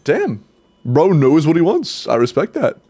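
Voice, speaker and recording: A man's voice: on the high side, wildly swooping pitch, unhurried, warm tone, slightly rough. A young man streaming a game and chatting casually with viewers, sounding stuffy and congested, rambling with restarts, casual swearing and laughs. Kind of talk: casual livestream chat with viewers.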